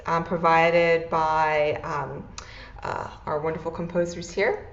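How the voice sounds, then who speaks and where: Woman moderator on roomy, dull call audio. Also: mid-range voice. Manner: upbeat, many ums and uhs. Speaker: panel moderator. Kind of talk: hosting an online panel.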